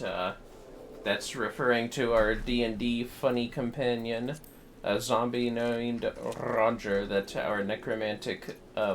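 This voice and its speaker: A man hosting a livestream, chatting casually and thinking aloud in a rambling, halting way as he plays a game. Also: lowish voice, a little hiss or hum. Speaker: livestream host. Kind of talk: casual chat while playing a game. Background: music.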